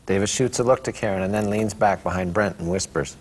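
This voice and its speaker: A man's voice: sits low, fairly quick. A man reading stage directions aloud at a script table read. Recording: echoey room with clear background noise.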